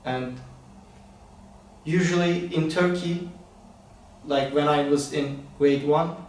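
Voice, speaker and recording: A young man answering interview questions calmly and reflectively, telling his own story. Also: on the high side, slow pace. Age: young adult. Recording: very echoey room, distant mic, faint ambience.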